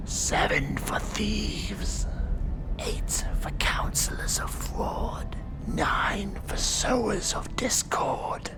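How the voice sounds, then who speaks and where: A performer whispering as a dry, weary demon judge of hell who instructs a trainee in a measured, deadpan horror ASMR role-play. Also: medium pitch, full character voice. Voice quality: breathy creaky whisper. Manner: slow, measured, deliberate, deadpan. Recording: music behind close whispered voice. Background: music.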